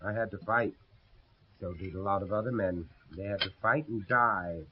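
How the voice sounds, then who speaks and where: An older actor playing an earnest, haunted, courteous American gentleman in a radio drama, speaking in a measured, brooding way. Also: fairly low pitch, background noise, natural voice. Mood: wistful, sombre. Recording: dull 1970s radio drama recording.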